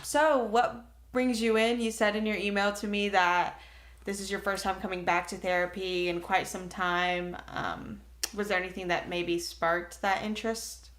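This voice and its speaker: A woman's voice: mid-range voice, flat delivery, fairly bright tone, lightly acted. A woman playing a mild, formal, reassuring therapist in an improvised podcast comedy bit. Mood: measured, reassuring. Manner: measured, clinical, reassuring.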